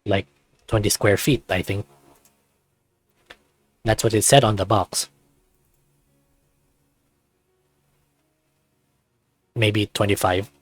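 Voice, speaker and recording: A man's voice: on the low side, level tone, a little slow, dry recording. A man voicing a goofy, laid-back teenage male furry best friend with casual, hesitant slacker phrasing in a visual novel. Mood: laid-back, joking.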